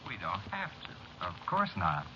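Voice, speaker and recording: A male actor playing a slow, sinister, mocking phantom in a 1940s radio horror drama, on a muffled old recording with loud noise. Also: low voice, wildly swooping pitch, exaggerated voice.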